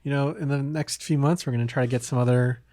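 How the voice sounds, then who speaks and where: A man hosting a podcast, talking casually about games in a hesitant, halting way. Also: mid-range voice, fairly even intonation, mellow, no room sound, clean studio sound. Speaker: podcast host.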